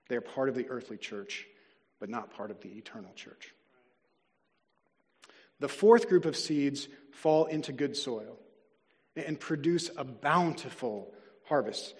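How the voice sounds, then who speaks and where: A man preaching a sermon as a pastor, earnest and deliberate, slowing down to stress key words. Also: mid-range voice, a little mellow. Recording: long reverb of a large hall.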